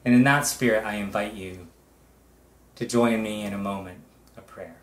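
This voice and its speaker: A middle-aged man, a pastor, leading a prayer in a measured, reverent way, in short phrases with long pauses. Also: lowish voice. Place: indoors, small room with bare wall. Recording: echoey room.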